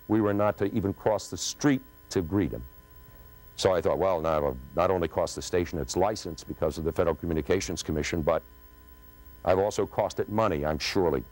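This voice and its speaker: A middle-aged man, a radio broadcaster, telling an anecdote in a polished way with deliberate, dramatic phrasing. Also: low voice, a little mellow, poor audio. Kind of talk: polished anecdotal storytelling to an audience. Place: on a stage in front of a curtain.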